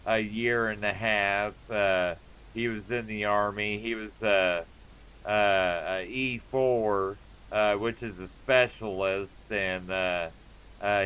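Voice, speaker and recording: A man chatting casually as an amateur radio operator, speaking in a halting way with many pauses. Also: lowish voice, a bit bright, clean tone, poor audio. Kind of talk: casual ham radio conversation.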